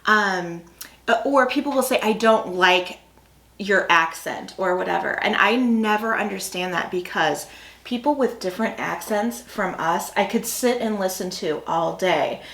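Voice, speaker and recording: A woman's voice: medium pitch, measured pace, a bit bright. A woman, co-hosting a snack review and chatting casually in a rambling way. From Midwestern United States. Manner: chatty and rambling, with fillers and asides. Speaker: food review channel co-host. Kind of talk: casual chat while tasting snacks. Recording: echoey room.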